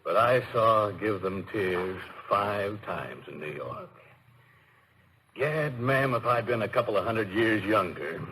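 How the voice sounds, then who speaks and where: A courtly old gentleman on a faintly noisy vintage radio recording. The voice is on the low side with big, lively swings, hearty and effusive. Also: takes it slowly. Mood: courtly, admiring. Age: older. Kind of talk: 1950s radio comedy-drama acting.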